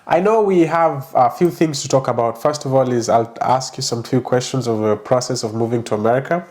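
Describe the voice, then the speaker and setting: A man's voice: medium pitch, fairly quick, darkish tone. A man hosting a podcast, chatting casually, laughing often and restarting his sentences. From Kenya. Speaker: podcast host. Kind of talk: casual interview chat.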